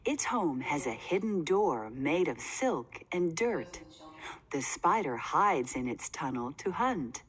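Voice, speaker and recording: Slow, expressive woman reading to children. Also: low voice, husky. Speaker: read-aloud narrator. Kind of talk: children's book read-aloud. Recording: faint background noise.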